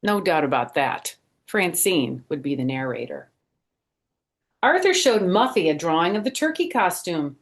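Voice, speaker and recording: A woman reads a picture book aloud for young children. Her voice sits a little low. She steps out of the story to explain things and put questions to her listeners. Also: very animated, measured pace. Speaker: storytime reader and former first grade teacher.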